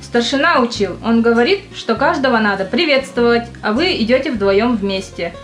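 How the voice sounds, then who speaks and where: A woman's voice: fairly high pitch, animated, moderate pace, faint music behind, natural voice. A woman playing a naive young army recruit, simply telling her war story in an amateur audio play. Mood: naive, storytelling. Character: young female army recruit recalling wartime mishaps.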